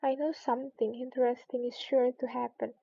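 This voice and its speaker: Woman playing Alice, a wistful high-voiced little girl. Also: flat delivery, brisk, vocal fry, warm tone, breathy edge, no room sound, dull audio. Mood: curious, hopeful. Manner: earnest, musing to herself. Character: polite, wistful little girl, curious and talking to herself.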